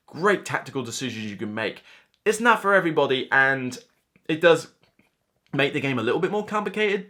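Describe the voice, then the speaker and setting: A man in his thirties with a mid-pitched voice talks casually to camera as the host of a board game channel. His delivery is unscripted, chatty and emphatic, slipping into imagined reactions from players as he explains things. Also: fairly bright tone. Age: 30s. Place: small indoor room lined with shelves of games.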